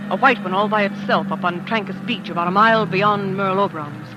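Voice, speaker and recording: An actress playing a jealous, vain, dramatic wife in a theatrical, self-pitying 1940s radio style. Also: female voice, mid-range voice, brisk, lo-fi sound, very noisy, natural voice. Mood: confiding, self-justifying. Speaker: lead actress and first-person narrator. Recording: old 1940s radio recording with music.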